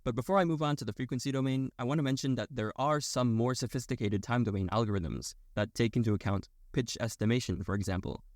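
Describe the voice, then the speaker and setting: A man's voice: mid-range voice, fast, few pauses, no echo, clean studio sound. A man delivering a scripted technical explainer voice-over, addressing the listener directly as he explains. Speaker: narrator of an educational explainer video.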